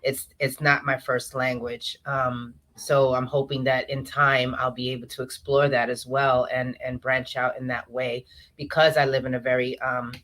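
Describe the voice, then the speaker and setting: A woman from New York hosts a relaxed online interview. Her voice is bright and clear, and she chats freely, filling pauses with "you know" and warm affirmations. She is heard over a video call. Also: a bass voice. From New York, USA. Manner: chatty, warm affirmations with many fillers. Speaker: interview host.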